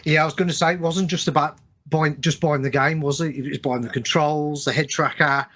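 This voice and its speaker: A man hosting a casual interview podcast, talking haltingly and repeating his words as he goes. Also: a little high, steady tone, fairly bright tone. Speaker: podcast host.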